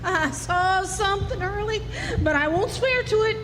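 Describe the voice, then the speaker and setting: An actress playing a wary, sharp-tongued frontier woman with folksy, emphatic delivery in a live western radio drama. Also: very high voice, moderate pace, background noise. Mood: wary, insistent. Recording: echoey hall, faint music.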